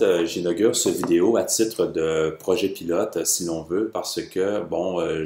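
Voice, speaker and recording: Deep-voiced Quebecois man lecturing on history. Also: darkish tone, reverberant. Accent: Quebec French. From Quebec, Canada. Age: 30s. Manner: formal and measured, heavy "euh" hesitations. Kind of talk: educational history lecture video.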